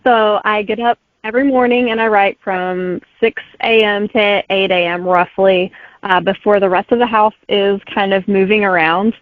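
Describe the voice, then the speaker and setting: A woman author as a guest, speaking in a relaxed, friendly way and laughing easily. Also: medium pitch, moderate pace, no echo. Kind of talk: casual interview chat. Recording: dry, tinny phone-like line.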